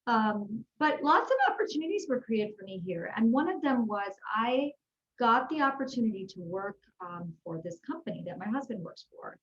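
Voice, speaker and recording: A woman's voice: medium pitch, highs cut off. A woman speaking as an interview guest, answering in an upbeat, earnest, conversational way. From Houston, Texas, United States. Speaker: guest, executive and life growth coach. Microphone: video call.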